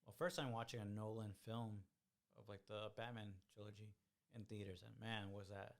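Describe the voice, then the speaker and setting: Young male co-host, low raspy gravelly voice, loose hesitant chat. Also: flat delivery. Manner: halting, many fillers and restarts. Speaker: podcast co-host. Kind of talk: casual chat.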